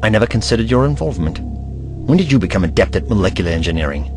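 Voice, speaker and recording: Casting: male actor as intense eccentric hero for audio drama, hugely dynamic intonation, emphatic and grave, over clear music. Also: fairly low pitch, sing-song, fast. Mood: grave, defiant.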